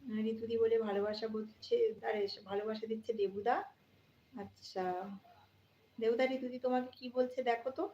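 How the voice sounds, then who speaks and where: A woman's voice: a little high, level tone, moderate pace, dark tone. A woman chats casually as a live stream host, addressing viewers directly and often repeating her phrases. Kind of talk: casual live chat, greeting viewers by name. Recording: dull lo-fi, roomy echo, faint noise.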